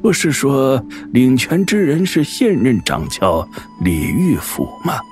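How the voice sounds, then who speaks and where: Unhurried, musing old man. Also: mid-range voice, huge pitch swings, darkish tone, soft background music. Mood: musing wonder. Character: old grandfather, admiring and curious. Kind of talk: audio drama acting.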